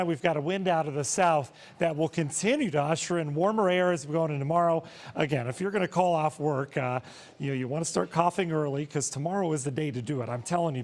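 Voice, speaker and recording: A man, perhaps in his thirties, presents a TV weather forecast in a breezy, informal, conversational way with folksy asides. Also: a little high. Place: TV studio, standing at weather screen.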